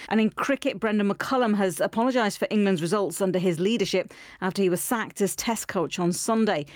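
A woman reading a scripted sports news bulletin on the radio in a brisk, clear, even way. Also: mid-range voice, dry recording, clean studio sound.

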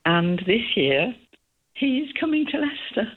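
A woman's voice: fairly high pitch, big pitch swings, a little slow, slightly rough. An older woman calling in to a radio show, cheeky and giggly in casual chat. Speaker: caller. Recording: tinny phone line.

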